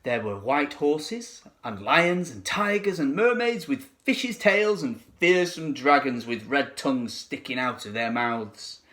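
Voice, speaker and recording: Animated man reading a children's story. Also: mid-range voice. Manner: dramatic, deliberate phrasing. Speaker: teacher reading to pupils. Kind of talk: expressive children's storybook narration.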